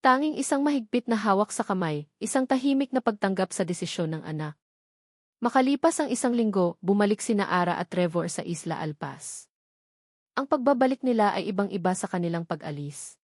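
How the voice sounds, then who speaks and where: A woman narrating a scripted romantic drama in a measured, sentimental storytelling manner. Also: mid-range voice, lively intonation, a little mellow, no echo, studio quality.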